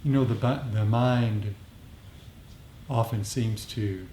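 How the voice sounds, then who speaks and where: A low, mellow male voice teaching a meditation group. He explains reflectively, circling back over his phrases. The room is echoey. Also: slow pace. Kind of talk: informal dharma discussion and meditation guidance. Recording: echoey room, faint background noise.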